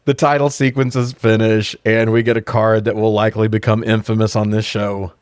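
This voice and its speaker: A man's voice: fairly low pitch, level tone, moderate pace, a little mellow, clear, resonant tone, no room sound, clean studio sound. A man co-hosting a podcast, giving a casual, comedic, sweary recap of a movie in slangy talk. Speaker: podcast co-host.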